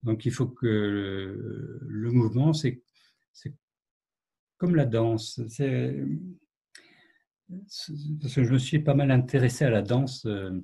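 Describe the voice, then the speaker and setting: Husky, soft-voiced man musing on writing. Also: mid-range voice, takes it slowly, pauses often. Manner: reflective, hesitant, many fillers and restarts. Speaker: novelist, guest. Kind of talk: online literary conversation. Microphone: video call.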